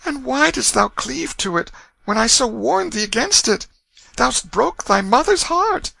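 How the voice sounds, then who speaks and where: A man's voice: high voice, lively intonation, measured pace, creaky, pushed and bright, no echo, voice pushed up, heavily acted. A man voicing a loving, anguished middle-aged mother in a storybook, pleading and lamenting in archaic English. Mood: grieving. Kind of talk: dramatic storybook reading.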